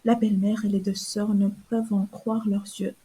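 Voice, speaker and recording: A woman narrating a children's fairy tale for a cartoon in a calm, clear storytelling manner. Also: mid-range voice, normal speed, rough recording.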